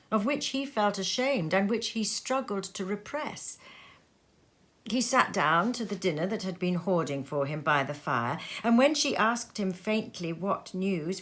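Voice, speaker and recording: A woman storyteller giving an expressive, measured narration of a story, with dramatic pauses. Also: medium pitch. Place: sitting room.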